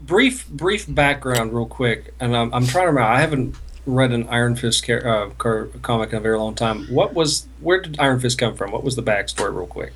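A man's voice: mid-range voice, brisk, hardly any pauses, fairly bright tone, a little hiss or hum. A man co-hosting a podcast in casual banter, with a joking, needling way of talking. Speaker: podcast co-host.

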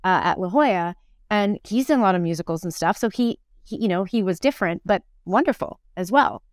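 A woman's voice: medium pitch, expressive, fairly quick, dry recording, studio quality. A woman talking casually and reflectively as an interview guest, often thinking aloud in broken-off phrases. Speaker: interview guest, stage actor.

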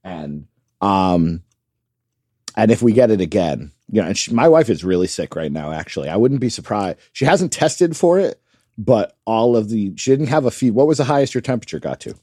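A man co-hosting a casual podcast, chatty and curious, asking lots of questions and filling in with quick replies. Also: lowish voice, very animated, warm tone, no echo, clean studio sound. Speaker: podcast co-host. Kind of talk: casual chat.

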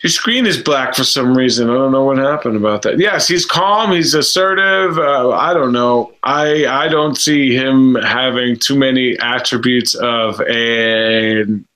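A man in his thirties co-hosting a casual video-call stream, rambling and halting with many fillers. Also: medium pitch, sharp tone. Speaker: livestream co-host. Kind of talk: casual chat about reality TV contestants.

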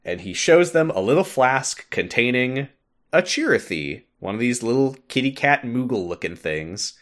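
A man's voice: mid-range voice, sing-song, moderate pace, fairly bright tone. A man hosting a podcast, casually retelling a game story with informal commentary and frequent laughter. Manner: laughs often, informal, mildly sweary. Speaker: podcast host.

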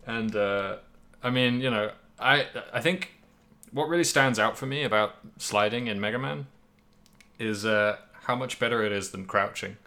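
A man's voice: lowish voice, normal speed, bright, forceful tone. A man co-hosting a podcast, talking casually about video games with wry, emphatic opinions. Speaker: podcast co-host. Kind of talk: casual video game discussion.

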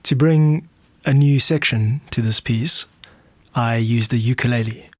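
A South African man hosting a podcast, explaining in a measured way like a music producer walking through his work. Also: medium pitch, no room sound, clean studio sound.

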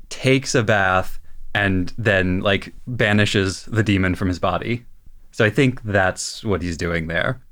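A man co-hosting a podcast, chatting casually and thoughtfully about an animated show. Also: lowish voice. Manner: thoughtful, explanatory. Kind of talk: casual analytical chat.

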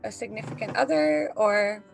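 A woman in her thirties chatting casually with friends in an animated, exclaiming way. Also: a little high, normal speed, creaky. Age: 30s. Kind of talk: casual group chat. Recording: music behind the talk.

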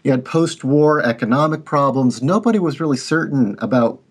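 A man speaking as a guest writer in a casual panel interview, telling stories in an animated way. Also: mid-range voice, brisk, slightly rough.

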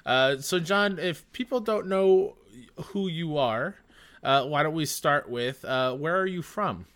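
Bright-voiced male podcast host. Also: fairly high pitch, animated, slow pace. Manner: friendly, hesitant questions with fillers. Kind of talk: casual interview.

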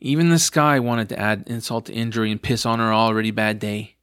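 A man's voice: fairly low pitch, steady tone, a little fast, a bit bright, clean tone, no room sound, clean studio sound. A man narrating a scripted comic fantasy story for an audio drama.

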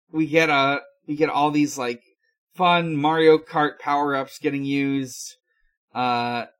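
A man hosting a podcast, giving a casual recap in a halting, unhurried way full of hesitations. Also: a little high, fairly bright tone. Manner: halting, filler-heavy, unhurried. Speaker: podcast host. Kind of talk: casual episode recap with frequent pauses.

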